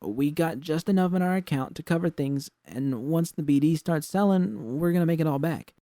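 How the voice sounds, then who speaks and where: An insistent young woman from an audiobook drama, acted by a man, with the pitch lifted well up. The delivery is earnest, slangy and stubbornly hopeful, in a soft-edged tone with a dry, close sound. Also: normal speed, dry recording, close to an everyday voice. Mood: eager, persuasive.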